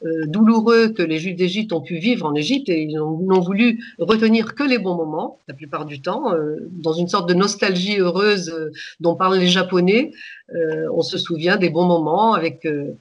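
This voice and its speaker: A woman in her sixties from Egypt, whose first language is Arabic, calmly recalling her childhood as a radio guest, pausing and restarting often. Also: fairly low pitch. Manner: hesitant, many pauses and restarts. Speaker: guest, author. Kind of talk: reflective personal storytelling.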